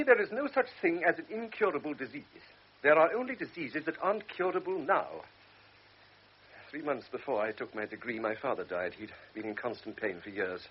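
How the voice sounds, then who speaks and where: A man's voice: medium pitch, muffled recording, a little hiss or hum, lightly acted. A man playing a blunt, cynical middle-aged doctor in a radio drama, talking in a brusque, lecturing, world-weary way.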